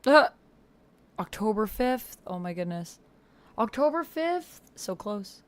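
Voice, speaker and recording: A woman's voice: medium pitch, very animated, takes it slowly. A woman hosting a casual livestream, talking to herself and reacting aloud as she reads along in a text roleplay game. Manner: talks to self, reacts aloud, murmurs while reading and typing. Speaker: livestream host. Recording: background noise with keyboard typing. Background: computer keyboard typing.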